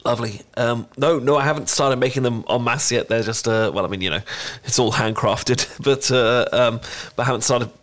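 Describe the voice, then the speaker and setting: A man with a British accent playing a shy, smitten young chocolatier in an ASMR roleplay, speaking in nervous, halting stammers. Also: mid-range voice, fairly bright tone, natural voice. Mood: bashful, hopeful. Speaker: voice actor in an audio roleplay. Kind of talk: ASMR roleplay, one-sided intimate conversation.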